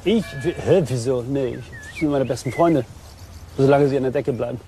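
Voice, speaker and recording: A man's voice: mid-range voice, moderate pace, noisy background, lightly acted. An actor playing an eager, slightly nervous junior policeman in a crime radio play. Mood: nervous, bluffing. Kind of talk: German crime radio drama acting.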